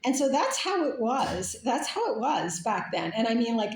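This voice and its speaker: A woman's voice: on the high side, very animated, normal speed, echoey room. A woman from Canada talking in a casual, rambling monologue, full of fillers and restarts. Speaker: speaker.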